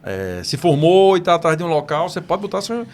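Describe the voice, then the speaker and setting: A man co-hosting an engineering podcast who keeps chiming in with quick agreement. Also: a little high, very animated. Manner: quick, frequent agreeing interjections. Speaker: podcast co-host. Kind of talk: casual podcast chat. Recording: faint background noise.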